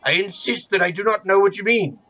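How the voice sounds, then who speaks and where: A man's voice: high voice, fairly quick, bright, forceful tone, muffled recording. A man playing a miserly, grumpy old man in an audio play, with an indignant, curmudgeonly manner. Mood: bewildered.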